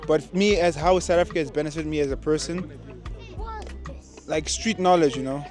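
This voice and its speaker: A man's voice: on the high side, normal speed. Laid-back slangy teen guy over music. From Nigeria. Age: teens. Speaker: interviewee. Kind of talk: casual street interview.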